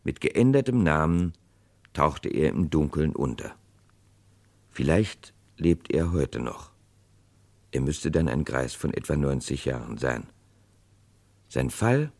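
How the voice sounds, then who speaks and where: An actor narrating a 1960s radio crime play as a grave chronicler, with dramatic, deliberate delivery. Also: male voice, extremely low pitch, very animated, creaky, a little mellow, full character voice. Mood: grave, reflective. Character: grave male chronicler of a historic murder case.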